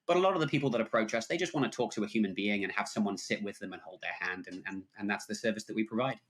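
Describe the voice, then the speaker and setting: A man's voice: fairly low pitch, very fast, fairly bright tone. A man in his thirties with a hybrid English and New Zealand accent, a guest explaining his work in a casual podcast interview. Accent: English with New Zealand mix. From England. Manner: friendly, explanatory, frequent fillers.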